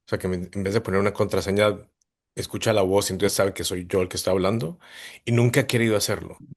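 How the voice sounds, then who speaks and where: A man from Colombia, moderating a discussion on a video call in a calm, reflective way. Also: low voice, fast talker.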